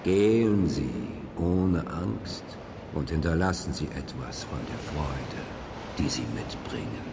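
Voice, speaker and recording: An actor playing a menacing, courtly old vampire count in a solemn, theatrical audio drama style. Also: male voice, a bass voice, lively intonation, slow, gravelly, heavily acted. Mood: courtly, ominous. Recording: noisy, long cavernous reverb.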